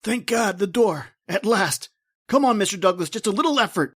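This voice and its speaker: A male actor plays an alarmed young hero in radio horror. His voice is somewhat high and urgent, as he urges others on. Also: expressive, moderate pace, fairly bright tone, husky, no room sound, clean studio sound, heavily acted. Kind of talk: old-time radio horror acting.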